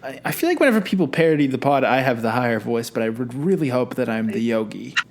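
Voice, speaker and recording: A man's voice: medium pitch, lively intonation, fast talker. A young man co-hosting a podcast in loose, casual chat, laughing often and swearing freely. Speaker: podcast co-host.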